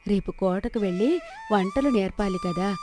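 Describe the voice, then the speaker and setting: A woman's voice: medium pitch, steady tone, moderate pace, over music, natural voice. A woman voicing a humble, pious village wife and cook, modest and respectful, in a moral story. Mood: humble, grateful. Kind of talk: Telugu moral story dubbing.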